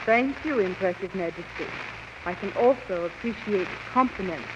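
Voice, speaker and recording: A woman's voice: medium pitch, lively intonation, normal speed, lightly acted. An actress playing a brave young captive woman in a 1930s radio serial. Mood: demure, wily. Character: brave young Earth woman captive, pleading then coyly flattering. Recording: muffled, noisy old radio recording.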